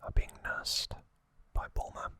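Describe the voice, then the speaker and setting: A man giving an ASMR recap in a breathy, unvoiced whisper, speaking softly in short, deliberate phrases. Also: no echo. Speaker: ASMR channel host.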